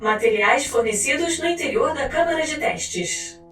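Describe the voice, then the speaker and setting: A woman's voice: high-pitched, expressive, moderate pace. A woman with a Brazilian accent reading a scripted scientific file in a formal, clinical manner. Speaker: narrator. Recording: roomy echo, distant mic, faint music. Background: music.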